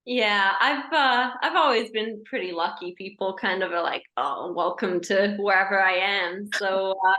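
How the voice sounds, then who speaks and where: A woman's voice: mid-range voice, measured pace, pushed and bright, echoey room, low-quality recording. A young Canadian woman with a slightly British-tinged accent, a guest answering interview questions in a friendly, chatty way with frequent little restarts. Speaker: guest, racing driver turned engineer.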